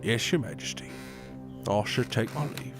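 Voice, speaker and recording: An actor playing a formal royal advisor who hesitates, stammers and clears his throat. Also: mid-range voice, lively intonation, normal speed, over music, lightly acted. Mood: deferential. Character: fussy older male royal advisor, formal. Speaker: royal counselor (maester). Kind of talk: audio drama acting.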